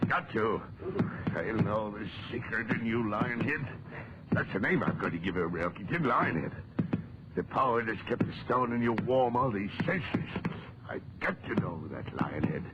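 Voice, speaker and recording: Actor as a low-voiced obsessed man, very noisy recording. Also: lively intonation, moderate pace, close to an everyday voice. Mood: frantic obsession. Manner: feverish, insistent, repeats himself. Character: obsessed, frantic male amateur excavator, defiant property owner. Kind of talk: 1940s radio horror acting. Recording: muffled, very noisy 1940s radio recording.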